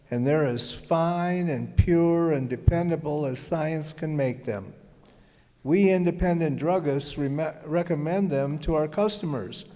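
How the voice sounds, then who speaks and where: A man's voice: fairly high pitch, warm tone. A man playing an earnest, reassuring neighborhood druggist reading a 1940s-style radio commercial. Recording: reverberant hall.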